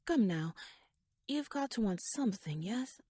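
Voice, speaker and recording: A woman's voice: medium pitch, lively intonation, measured pace, dark tone, breathy edge. A woman playing a shrewd, talkative royal schemer in an ASMR audio roleplay, speaking in wordy, formal, playfully wry musings addressed to the listener. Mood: wry, persuasive.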